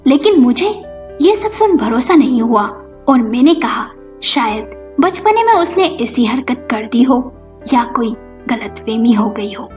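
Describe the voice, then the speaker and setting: A wounded, stern wife acted out by a female narrator scolds and accuses in a high, dramatic voice. It is an audio-story performance with slightly muffled sound and music underneath. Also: very animated, measured pace, a little mellow. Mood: accusing. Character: betrayed married woman, stern, wounded and accusing.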